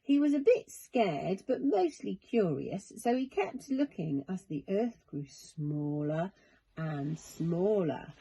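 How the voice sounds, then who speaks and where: Slow, expressive woman reading bedtime stories. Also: fairly low pitch, gravelly, slightly rough. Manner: expressive, measured, dramatic. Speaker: storyteller. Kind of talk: children's bedtime story narration.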